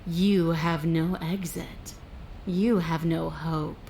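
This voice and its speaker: An actress playing a monstrous, predatory beast princess in a video game, speaking with slow, deliberate menace. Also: female voice, low voice, fairly even intonation, gravelly, dark tone, a little hiss or hum, exaggerated voice, poor audio. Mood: hungry menace.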